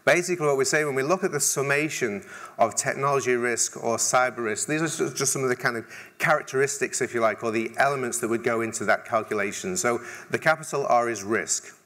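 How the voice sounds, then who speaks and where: A man's voice: medium pitch, quick pace, fairly bright tone. A middle-aged man with an English accent giving a keynote talk on a large conference stage, conversational, with dry humor and frequent restarts. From England. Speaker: conference speaker.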